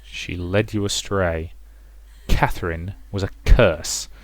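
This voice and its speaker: A man voicing a possessive, sinister ghostly mother in a visual novel, slow, drawn-out and cloying. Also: low voice, fairly even intonation, no room sound, pitched below the performer's own voice. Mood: cold, smothering.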